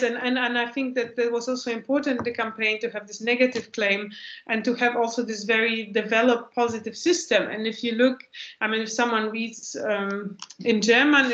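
A woman's voice: on the high side, a bit bright. A woman, giving a thoughtful lecture answer on a video call and often restarting her phrases. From Poland. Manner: thoughtful, restarts phrases while searching for words. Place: home room with bookshelves. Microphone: laptop mic on video call. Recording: echoey, dull lo-fi video call.

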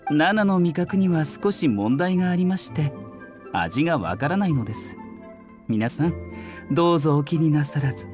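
A man playing a courteous young herbal doctor in a video game, soft-spoken, polite and measured. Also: a little high, lively intonation, over music, lightly acted. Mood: gentle, courteous. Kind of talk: Japanese game voice acting.

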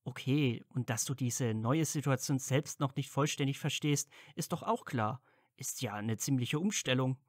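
A man's voice: medium pitch, moderate pace, few pauses, dry recording, studio quality, close to an everyday voice. A man playing a caring, curious young wizard and supportive friend in a fan fiction audio drama, casual and earnest. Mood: apologetic.

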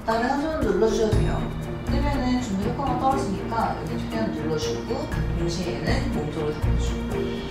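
A woman's voice: on the high side, expressive, brisk. A young woman, a stretching instructor, patiently explaining calf stretches step by step while demonstrating them. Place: bright living room with wooden floor. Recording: echoey room, distant mic, loud music bed.

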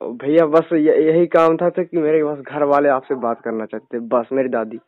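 Dry phone recording, pleading high-voiced Indian man. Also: fairly even intonation, normal speed, no echo, phone-quality sound. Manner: pleading, deferential. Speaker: fan caller. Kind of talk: casual phone conversation.

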